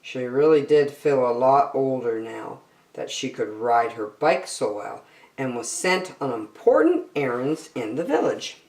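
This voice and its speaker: Low-voiced, animated woman reading a story. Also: measured pace. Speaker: children's story reader. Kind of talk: expressive storybook narration. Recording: echoey, roomy sound.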